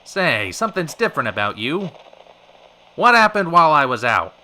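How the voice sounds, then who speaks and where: A man playing a short-tempered, wisecracking talk show host in an old-time radio drama, fast-talking in theatrical period slang. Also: on the high side, sing-song, pushed and bright, a little hiss or hum, full character voice, low-quality recording. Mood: angry, sarcastic.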